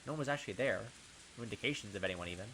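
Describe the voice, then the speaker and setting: A young man reading a visual novel aloud in a dramatic first-person voice, breaking off for excited asides and commentary as a let's play gamer. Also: on the low side, very fast, slight background noise, poor audio.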